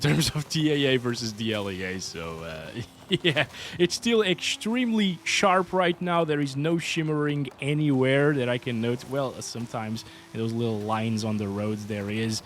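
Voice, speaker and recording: A man's voice: mid-range voice, expressive, moderate pace, fairly bright tone, slight background noise. A man with a Portuguese accent giving chatty, upbeat commentary over gameplay as a hardware reviewer. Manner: chatty, upbeat, often says "guys".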